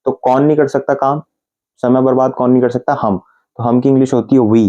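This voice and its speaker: A man with an Indian accent gives an English lesson, spelling out example sentences one word at a time. His voice is mellow and warm, sitting at an ordinary middle pitch. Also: steady tone, lo-fi sound. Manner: explains patiently, repeating example sentences slowly word by word. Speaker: English teacher. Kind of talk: Hindi-language English grammar lesson.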